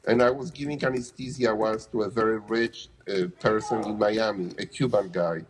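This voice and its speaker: A man's voice: on the low side, fairly even intonation, normal speed, soft background music. A middle-aged man with a Polish accent answering interview questions casually, often restarting phrases and adding "you know". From Poland. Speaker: interviewee, anesthesia provider.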